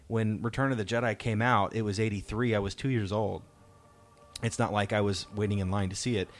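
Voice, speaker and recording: A man's voice: lowish voice, steady tone, fairly quick. A man in his thirties, a father hosting a podcast, casually telling personal stories to his children. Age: 30s. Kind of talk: casual chat, personal storytelling.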